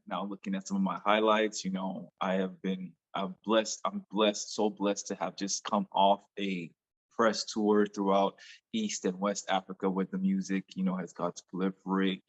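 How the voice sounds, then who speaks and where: A man with a Nigerian accent speaks in a lowish voice. He is a music artist guest chatting eagerly in an interview, often repeating his words. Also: rough recording. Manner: enthusiastic, repeats words as he talks. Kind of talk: casual interview chat.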